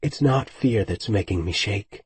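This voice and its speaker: A man voicing a tender, earnest young woman in love, in a husky, breathy voice, reading an audiobook. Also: lowish voice, unhurried, no room sound.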